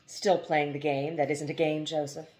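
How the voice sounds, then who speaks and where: A woman voicing a thoughtful young mother and protective wife in a storybook, gentle and questioning. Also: low voice, level tone, normal speed, close to an everyday voice. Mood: worried.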